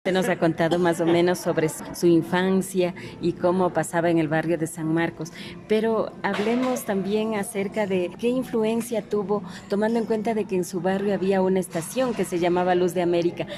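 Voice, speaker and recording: A woman's voice: medium pitch, fairly even intonation, normal speed. A woman asks prepared questions as an interviewer.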